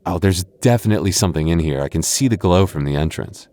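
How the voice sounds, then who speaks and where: A man's voice: low-pitched, animated, quick pace, warm tone. A man voicing a boyfriend in an ASMR roleplay, stammering through restarts and teasing playfully.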